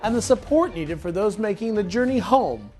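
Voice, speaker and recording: A man reading scripted narration for a program, expressive and lilting. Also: high-pitched, normal speed, music in the background.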